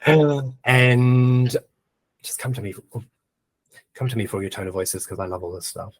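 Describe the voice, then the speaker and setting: A man's voice: medium pitch, unhurried, slight background noise, low-quality recording. A man with a New Zealand accent giving a casual screen-share tutorial, chatting and thinking aloud as he types, with plenty of ums. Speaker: presenter of a webinar.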